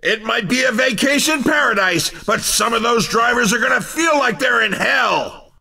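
An actor playing an excitable, upbeat male race commentator in a video game, with showy, hyped announcer delivery. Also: very high-pitched, moderate pace, vocal fry, raised voice, distant mic, heavily acted. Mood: excited, teasing.

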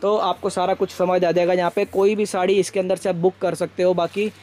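Fast, high-pitched man selling enthusiastically. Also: flat delivery, a little mellow. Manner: enthusiastic, repetitive sales patter. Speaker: video host. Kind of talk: promotional market tour commentary. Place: packed indoor saree shop.

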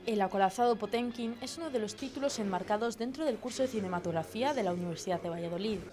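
Measured news reporter woman, voice-over. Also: mid-range voice. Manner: measured, even narration.

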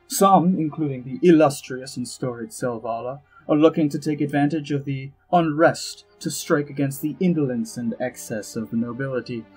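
An actor playing a suave, self-satisfied elf ambassador and spymaster in an audio drama, arch, droll and flowery, with a husky voice. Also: male voice, medium pitch, normal speed, few pauses, darkish tone, close to an everyday voice, rough recording. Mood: dry disdain. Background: music under the voice.

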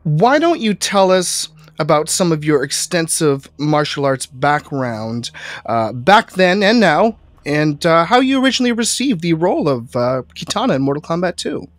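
A man's voice: a little high, very animated, no echo. Congested young man with a headset. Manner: halting, with filler words. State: congested, recovering from illness. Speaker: podcast co-host. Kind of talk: podcast interview. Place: home studio. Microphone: headset microphone.

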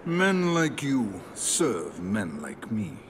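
Ancient sorcerer, condescending, measured, expressive pitch, noisy background, game dialogue. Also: male voice, exaggerated voice. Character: superior ancient sorcerer, coolly arrogant. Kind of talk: video game fight banter. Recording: clear background noise.

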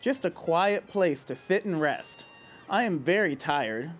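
A man voicing a boy who has grown into a tired old man, read aloud from a storybook in a lower, weary tone. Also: animated, a little slow, faint music behind.

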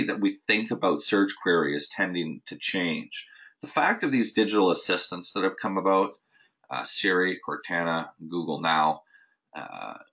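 A man's voice: low-pitched, fairly bright tone. A man hosting a weekly marketing update, speaking off the cuff with frequent restarts and fillers on a recorded member call. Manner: casual, many restarts and "you know" fillers. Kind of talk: unscripted webinar talk.

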